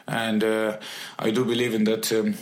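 A young musician, a man, answering interview questions in halting English with many restarts. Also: fairly low pitch, completely flat delivery, echoey room. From Egypt. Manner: halting, with frequent restarts and fillers. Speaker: musician guest.

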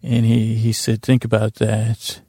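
A man hosting a podcast, rambling through a bedtime monologue in a meandering, halting, self-deprecating way with a gravelly voice. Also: fairly low pitch, monotone, mellow, dry recording, clean studio sound. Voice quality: gravelly, creaky. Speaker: podcast host. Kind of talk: rambling bedtime monologue to help listeners sleep.

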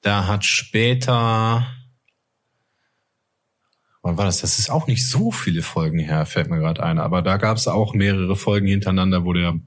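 A man co-hosting a casual fan podcast, speaking informally and haltingly as he searches for words. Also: low voice. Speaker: podcast co-host.

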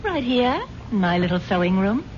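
An actress playing a gullible, earnest wealthy wife who is no longer young, speaking in a genteel, gushing way in a 1970s radio drama. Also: female voice, medium pitch, big pitch swings, moderate pace, lightly acted. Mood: sweet, coy. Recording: dull, slightly noisy 1970s radio recording.

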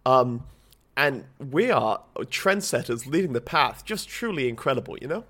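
A man co-hosting a casual podcast from his small flat, rambling a little with ums and restarts. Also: mid-range voice, normal speed. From Australia. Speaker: podcast co-host. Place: small home flat, blanket around the mic. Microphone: home podcast microphone.

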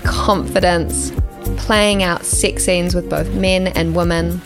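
Female podcast host, gravelly low tone, gushing reactions, music bed under. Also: fairly even intonation, slow. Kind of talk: casual interview.

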